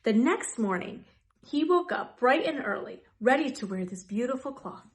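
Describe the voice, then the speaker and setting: High-voiced woman reading a children's storybook. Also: lively intonation, normal speed. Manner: dramatic, emphatic word-by-word delivery. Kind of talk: expressive children's storybook narration.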